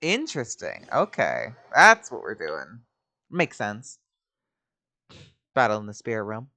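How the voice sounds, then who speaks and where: Very low-voiced woman, casual gamer asides. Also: wildly swooping pitch, measured pace, pushed and bright. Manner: slangy, terse asides. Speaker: streamer reacting to a game. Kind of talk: casual gameplay commentary.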